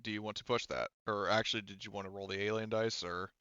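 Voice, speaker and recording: Low, smooth-voiced man, dry dull audio. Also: steady tone, quick pace, sharp tone, no room sound. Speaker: game master. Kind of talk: running a tabletop roleplaying game, casual.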